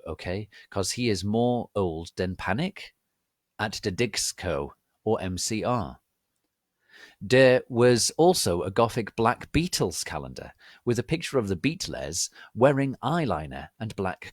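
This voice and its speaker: A man giving a theatrical, melodramatic dramatic reading of fan fiction as narrator, with a deadpan commitment to the text. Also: low voice, dry recording, clean studio sound. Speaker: narrator, reader.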